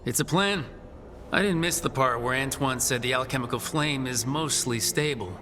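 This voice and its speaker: A man's voice: medium pitch, moderate pace, pushed and bright, slight background noise, close to an everyday voice. Resolute, wry hero man played by an actor. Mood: determined, wry. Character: resolute young male hero leader, wry and caring. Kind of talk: video game voice acting. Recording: clear music behind the voice.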